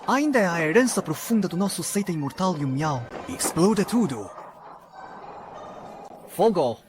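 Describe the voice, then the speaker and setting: An actor voicing an arrogant young sect master in a dubbed motion comic, talking with scorn and pride. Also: male voice, high voice, sing-song, measured pace, background noise. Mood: commanding. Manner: haughty, contemptuous.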